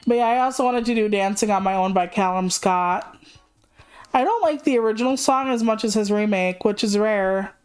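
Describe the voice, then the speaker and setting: A woman's voice: mid-range voice, moderate pace, darkish tone, smooth, soft background music. A woman livestreamer chatting casually in a candid, rambling, self-deprecating way, swearing freely. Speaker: video game livestreamer.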